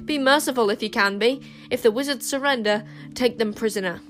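A woman voicing a haughty, imperious warrior queen with a quick temper in an audiobook story. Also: a little high, fairly bright tone, soft background music, natural voice. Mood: commanding. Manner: contemptuous, imperious, snapping.

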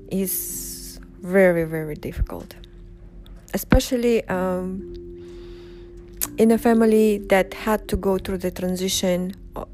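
Slow, husky-toned woman. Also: on the low side, dark tone. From Uzbekistan. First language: Russian. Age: 40s. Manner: unhurried and reflective, with frequent pauses. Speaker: vlogger. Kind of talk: personal storytelling vlog. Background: background music.

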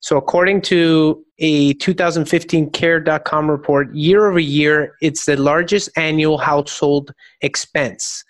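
A man hosting an interview podcast, reading his intro and statistics in a measured, scripted way. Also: on the high side, dry recording. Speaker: podcast host.

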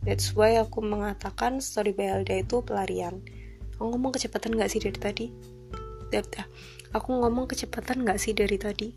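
A young woman with a light Javanese accent talks through her solo podcast, chatty and self-deprecating, slipping English phrases in here and there. Her voice sits at an ordinary pitch and her intonation stays a little flat. Clear music plays underneath her voice. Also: fairly quick. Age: 20s. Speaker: podcast host. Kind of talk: casual solo podcast monologue.

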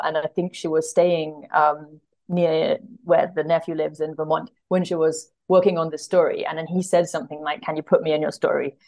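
A woman's voice: low-pitched, darkish tone. A woman speaking as a guest translator in casual podcast chat, thoughtfully telling anecdotes and often circling back to rephrase.

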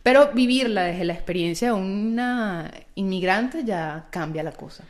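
A woman's voice: medium pitch, moderate pace. Playful, slangy Venezuelan woman podcaster. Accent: Venezuelan Spanish. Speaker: podcast co-host. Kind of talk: casual, gossipy podcast chat.

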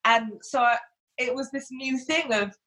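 A woman's voice: sits high, a little slow, a bit bright. A woman, grew up in Hong Kong, talking chattily as an interview guest and using lots of fillers. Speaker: guest, body confidence coach. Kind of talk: casual interview talk.

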